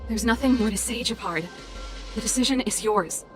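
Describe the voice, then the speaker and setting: An actress playing a bold, defiant older sister who rebels against authority in a video game, impassioned and insistent. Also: female voice, a little high, moderate pace, natural voice. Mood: pleading. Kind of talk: dramatic video game voice acting. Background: game music.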